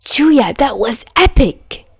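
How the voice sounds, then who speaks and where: Manic young male mafioso daredevil, played by a woman, audiobook. Brash, excitable, teasing, high and very animated. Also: slow, husky, no echo, studio quality, full character voice. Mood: exhilarated. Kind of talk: fan fiction audiobook character voice.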